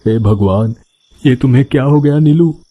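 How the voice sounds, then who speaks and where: An actor playing a cautious, anxious young husband in a cartoon horror story, protesting with urgency. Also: male voice, mid-range voice, normal speed, narrow, tinny audio, a little hiss or hum, close to an everyday voice. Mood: shocked.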